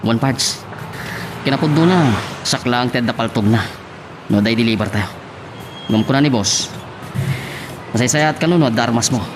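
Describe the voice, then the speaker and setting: A man's voice: mid-range voice, moderate pace, pauses often, noisy background, close to an everyday voice. A man playing a cocky, chummy friend who coaches his pal in an Ilocano audio drama. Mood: low, matter-of-fact. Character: cocky, laughing buddy teaching a friend to shoot.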